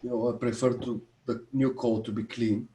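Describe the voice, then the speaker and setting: A man's voice: medium pitch, little pitch movement, moderate pace, dark tone. A man on a video call giving his opinion in a casual meeting, with some fillers. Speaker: meeting participant. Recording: echoey room on a call.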